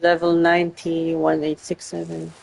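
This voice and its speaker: A woman's voice: sits low, completely flat delivery, moderate pace, vocal fry, darkish tone, poor audio. A woman doing casual gameplay commentary as a streamer, thinking aloud in a hesitant way. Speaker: game streamer.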